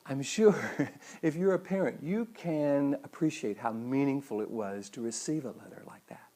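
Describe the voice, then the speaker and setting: A man's voice: mid-range voice, normal speed, warm tone. A middle-aged man giving a motivational talk as a host, with earnest, emphatic phrasing. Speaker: psychologist and speaker hosting a weekly show.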